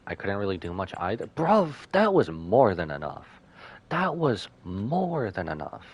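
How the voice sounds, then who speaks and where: A man's voice: on the low side, wildly swooping pitch, a little slow, breathy edge. A man live-streaming a game, reading along and reacting playfully, often repeating phrases for emphasis. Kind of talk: live stream commentary with dialogue read-aloud. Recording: game music behind voice. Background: game music.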